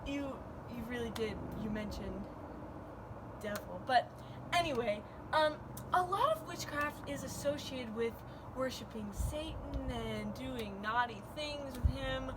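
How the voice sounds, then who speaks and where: A woman playing a loud, excitable talk show host with an emphatic, showy delivery in a mock interview. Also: a little high, a little slow, natural voice. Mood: probing. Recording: very noisy, distant-sounding home recording.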